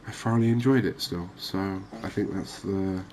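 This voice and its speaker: A man giving relaxed, unhurried, musing ASMR-style gameplay commentary, chatting to his viewers. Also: low-pitched, slight background noise. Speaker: host of a cozy fishing game channel.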